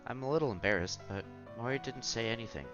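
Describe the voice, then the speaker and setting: A man's voice: medium pitch, fast talker, highs cut off, music in the background. A man reading visual novel narration aloud as a streamer, expressively, with casual asides and exclamations.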